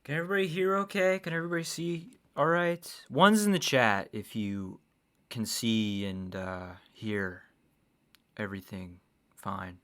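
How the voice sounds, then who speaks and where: A man with a bright, forward voice at an average pitch talks casually on a livestream. He gives opinionated reaction commentary and is blunt about it, swearing freely. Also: steady tone, measured pace.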